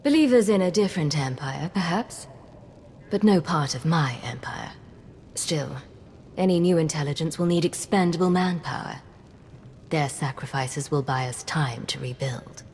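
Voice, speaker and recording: An actress playing a commanding, ruthless intelligence agent, controlled and insistent, in a science-fiction video game. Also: female voice, low-pitched, expressive, normal speed, a little mellow, in a large hall, slight background noise. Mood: cold, controlling. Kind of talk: scripted video game acting.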